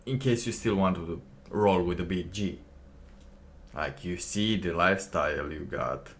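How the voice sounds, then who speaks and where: A man streaming alone, reacting to a clip with slow, musing casual commentary and laughing to himself. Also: low-pitched, fairly bright tone.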